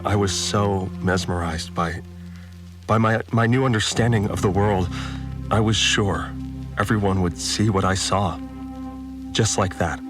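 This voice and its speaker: An actor playing an earnest, devout farmer, reflecting haltingly and with wonder in an audio drama monologue. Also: male voice, low-pitched. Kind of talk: dramatic first-person monologue. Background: music.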